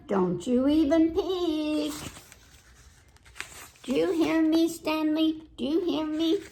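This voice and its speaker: A woman voicing a stubborn, boastful little girl from a storybook, with emphatic, defiant, drawn-out words. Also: high-pitched, darkish tone. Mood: insistent, warning.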